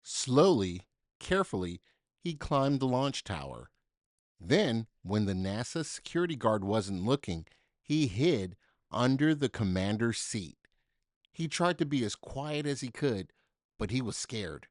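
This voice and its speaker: A man reading a children's story aloud in an expressive, dramatic way, with deliberate emphasis for young listeners. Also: mid-range voice, no room sound, clean studio sound. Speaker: storybook reader.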